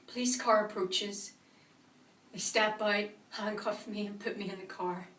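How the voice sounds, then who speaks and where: A woman playing a vengeful, traumatised woman in a confessional monologue, speaking deliberately with dramatic pauses. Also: on the high side, a bit bright. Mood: numb, shaken. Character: vengeful, traumatised woman recounting a violent night. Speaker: actor performing a monologue. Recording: echoey room, distant microphone.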